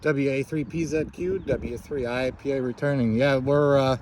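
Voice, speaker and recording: A man working as an amateur radio operator, talking casually and technically in a halting way, with call signs and signal reports. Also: mid-range voice, steady tone, heavy background noise.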